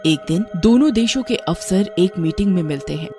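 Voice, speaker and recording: Female storyteller, lowish pitch, scripted narration, music underneath. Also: lively intonation, moderate pace.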